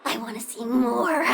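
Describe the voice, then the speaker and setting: A woman's voice: on the high side, gravelly, fairly bright tone, distant mic, heavily acted. A woman voicing a childlike, plant-powered villainess in a video game, girlish and bratty, petulant and gleefully menacing, with a sing-song delivery. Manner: bratty, sing-song, swinging from cutesy to threatening. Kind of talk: video game character voice lines.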